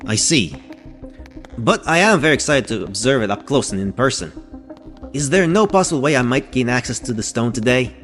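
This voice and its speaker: An eager, courteous male scientist played by an actor speaks formally in an audio drama. His mid-pitched voice moves up and down expressively, with music behind it. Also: animated, fairly quick, a bit bright, natural voice. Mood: curious, eager. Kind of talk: scripted audio drama dialogue.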